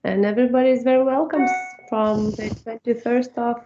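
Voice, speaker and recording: A woman's voice: on the high side, fairly even intonation, a little fast, noisy background. A woman briefly introducing her resort hotel in an online meeting, speaking cheerfully. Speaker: hotel representative. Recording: dull lo-fi video-call audio.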